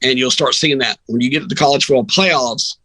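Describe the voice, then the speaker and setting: A man's voice: medium pitch, brisk, pushed and bright, dry recording, rough recording. A man talking sports casually as a podcast guest, opinionated and emphatic, often rambling into asides. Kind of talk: sports talk, casual conversation.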